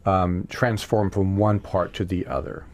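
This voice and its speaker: A man hosting a casual talk show, putting halting, rambling questions to his guests. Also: low voice, level tone. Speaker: radio talk show host. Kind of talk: casual interview.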